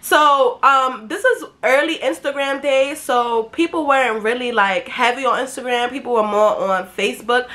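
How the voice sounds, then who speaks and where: A woman's voice: fairly high pitch, moderate pace, hardly any pauses. A young woman from Brooklyn, telling a story in a slangy, swearing manner, sounding nasal and congested from a head cold, on a webcam in a small bedroom. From Brooklyn, New York. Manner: brash, slangy and animated, swearing freely. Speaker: YouTuber. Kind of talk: casual storytime chat to camera.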